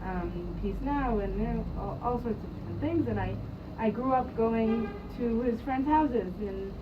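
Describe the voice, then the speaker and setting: A woman's voice: on the high side, moderate pace. A young woman who grew up in Jerusalem, telling her story in a hesitant, casual way with many ums and restarts. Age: young adult. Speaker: interviewee, youth program staff member. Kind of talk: casual spoken recollection. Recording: distant mic, muffled, noisy.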